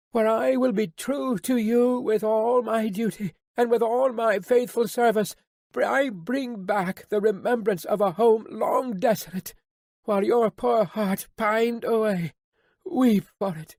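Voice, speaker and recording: A tender young daughter who begs and soothes in a raised, earnest voice, as a woman reader puts her on. She lingers on each slow, imploring repeat. The sound is close and dry. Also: a little high, a little mellow, no room sound, voice pushed up. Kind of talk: audiobook character reading.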